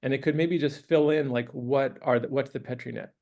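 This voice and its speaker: A man giving a relaxed university lecture, thinking aloud with many pauses, clearing his throat as he loses his voice. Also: medium pitch. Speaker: guest lecturer. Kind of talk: academic lecture with questions. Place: university classroom.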